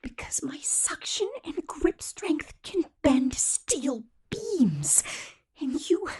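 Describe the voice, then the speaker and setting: A woman's voice: high-pitched, very animated, dark tone, heavily acted. A woman voicing a possessive, lovesick young male killer robot in an intimate, coaxing ASMR roleplay monologue. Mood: tender, obsessive. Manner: slow, intimate, coaxing whisper.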